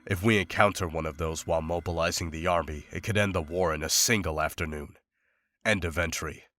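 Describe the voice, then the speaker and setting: A grim monster hunter , acted by a man, speaks in a very low register. He is solemn and formal, measured in his storytelling, and sounds weighed down by war and guilt, as in a dramatic visual novel. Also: fairly even intonation, pushed and bright. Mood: grave, reflective. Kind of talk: visual novel voice acting, dramatic journal narration and dialogue.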